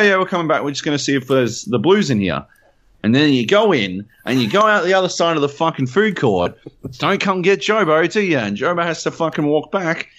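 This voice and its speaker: A man's voice: fairly high pitch, highs cut off. A man with an Australian accent, co-hosting a podcast and telling a long, swear-filled story with lots of "uh" and "like". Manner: animated, profane storytelling with many fillers. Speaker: podcast co-host. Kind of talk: casual podcast chat.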